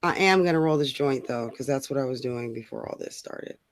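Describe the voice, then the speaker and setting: A woman's voice: extremely low pitch, a little fast. A woman co-hosting a live stream in casual, unscripted chat, blunt and slangy in how she talks.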